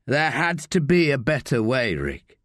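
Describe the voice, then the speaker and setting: A man reading an audiobook, voicing a stern detective who speaks in a firm, measured way. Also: mid-range voice, huge pitch swings, a bit bright, no echo, studio quality.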